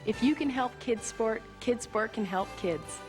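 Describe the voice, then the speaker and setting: Higher-pitched female ad announcer. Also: measured pace. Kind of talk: scripted charity advertisement narration. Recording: music behind the voice.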